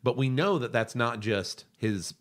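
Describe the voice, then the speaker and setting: A man's voice: medium pitch, lively intonation, a little slow. A man hosting an analytical discussion podcast, speaking in an emphatic, opinionated way. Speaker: podcast host discussing animation.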